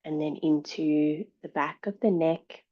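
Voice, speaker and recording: A woman yoga teacher giving guided meditation and yoga instruction in a calm, measured, soothing way. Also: sits low, highs cut off.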